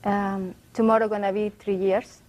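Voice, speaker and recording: A woman's voice: medium pitch, level tone, normal speed, darkish tone. A native Spanish-speaking woman with a Salvadoran accent, answering court questions briefly and hesitantly as a witness.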